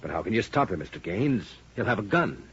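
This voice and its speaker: An actor playing a worried, loyal male aide in a 1950s radio drama, earnest and anxious, with a rough voice. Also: on the low side, very animated, brisk, full character voice. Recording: muffled 1950 radio broadcast.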